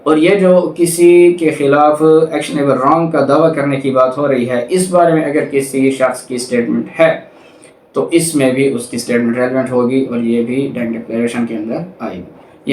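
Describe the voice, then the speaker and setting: A man's voice: mid-range voice, normal speed, darkish tone. A man with a Pakistani accent giving a methodical law lecture, reading clauses aloud and explaining them. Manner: methodical, didactic, explains with everyday examples. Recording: echoey room, distant microphone.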